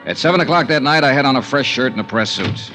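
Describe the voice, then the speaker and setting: A man's voice: mid-range voice, animated, quick pace, bright, forceful tone, faint music behind. An actor playing a shrewd, wry American insurance investigator in a 1950s radio detective drama, dry and probing as he talks and narrates his case. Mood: wry narration.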